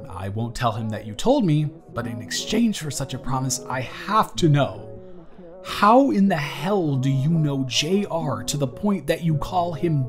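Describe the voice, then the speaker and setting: A young man acting a wry, awkward but kind college student in an intimate, conversational roleplay audio, with a dry, teasing and hesitant delivery. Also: fairly high pitch. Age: young adult.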